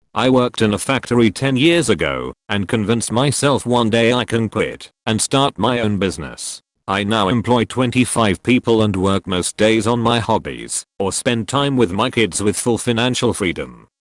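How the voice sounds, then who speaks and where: A man narrating forum posts and their replies in a steady reading voice. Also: fairly low pitch, no echo, studio quality. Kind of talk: scripted narration.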